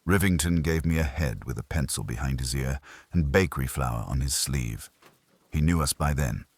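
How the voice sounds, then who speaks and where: A man narrating a first-person detective story in a measured, dramatic storytelling way, with a husky low voice. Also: dry recording. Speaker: audiobook narrator.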